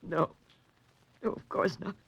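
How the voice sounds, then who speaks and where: A woman's voice: a little slow, dull audio, lightly acted. A woman playing a guarded, desperate woman in her thirties in a 1940s radio melodrama, with a dramatic delivery. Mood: resigned, confessing. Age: 30s. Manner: dramatic, haughty turning to pleading. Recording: faintly noisy vintage 1940s radio recording.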